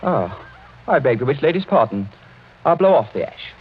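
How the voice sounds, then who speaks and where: Brusque young lord guy acting, nervy and very expressive, clipped lines, muffled 40s radio show. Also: mid-range voice, normal speed, natural voice. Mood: wary defiance. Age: young adult. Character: brave, brusque young English lord on edge. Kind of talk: 1940s radio mystery acting. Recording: muffled, noisy 1940s radio recording.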